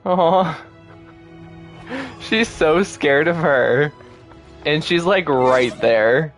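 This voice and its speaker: A man's voice: on the high side, very slow, soft background music. A man giving casual gameplay commentary as a streamer, chatty, colloquial and self-deprecating. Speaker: game streamer.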